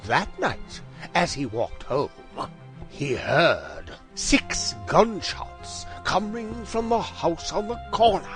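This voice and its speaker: An actor playing a brilliant, self-assured Victorian gentleman detective in an audio drama, with a precise, formal and theatrical delivery. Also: high-pitched, big pitch swings, slow, faint music behind, exaggerated voice. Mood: self-assured, explanatory.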